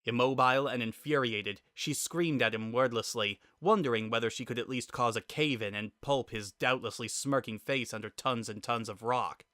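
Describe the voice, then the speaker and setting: A man narrating a scripted story in a dramatic, expressive and deliberate way. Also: medium pitch, no echo, studio quality.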